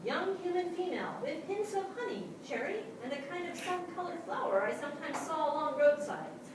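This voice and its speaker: A naive, earnest dog narrator voiced by a woman, high and very animated, telling a story with comic timing. It sounds like a theatre stage with heavy echo. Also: brisk. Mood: earnest, droll. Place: small theatre stage before an audience. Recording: very echoey theatre, distant, noisy.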